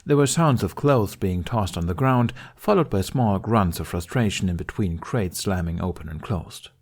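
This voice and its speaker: A man's voice: deep voice, lively intonation, normal speed. A man reading a scripted story aloud as its narrator. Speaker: fan fiction podcast narrator.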